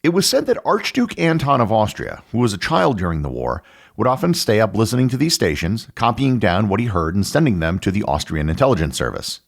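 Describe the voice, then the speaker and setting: A middle-aged American man hosting a podcast, reading scripted educational narration in a measured, explanatory way. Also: on the low side, wildly swooping pitch, creaky. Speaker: podcast host.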